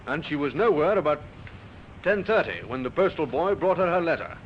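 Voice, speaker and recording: Actor as a probing police inspector, animated pitch. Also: male voice, close to an everyday voice. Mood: businesslike. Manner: probing, measured questioning. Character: calm, methodical male police inspector. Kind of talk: old-time radio drama acting. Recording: dull, noisy old radio recording.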